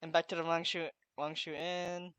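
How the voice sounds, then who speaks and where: A man's voice: a little high, flat delivery, normal speed, slight background noise. A man giving informal gameplay commentary, often muttering to himself as he plays. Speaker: gamer, video host. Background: loud game music.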